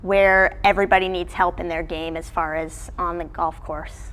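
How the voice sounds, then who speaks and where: A woman's voice: lowish voice, flat delivery, moderate pace, fairly bright tone. A woman who coaches college sport, answering interview questions in a casual, conversational way. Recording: clearly noisy background ambience.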